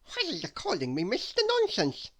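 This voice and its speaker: A woman's voice: mid-range voice, wildly swooping pitch, moderate pace, husky, pitched above the performer's own voice, exaggerated voice. A woman doing a bewildered, protesting little man. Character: dazed, confused little man, indignant and lost. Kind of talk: storybook character voice.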